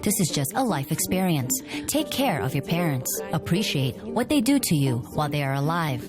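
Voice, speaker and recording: A woman reading a scripted documentary voice-over with an expressive delivery. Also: deep voice, normal speed. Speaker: voice-over translator. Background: music.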